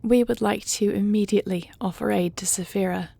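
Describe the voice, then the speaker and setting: A woman playing a dutiful, gracious dragon-shifter queen in a fantasy audio roleplay, speaking in formal, deliberate phrases. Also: medium pitch, fairly even intonation, gravelly, warm tone, no room sound. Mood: formal, measured.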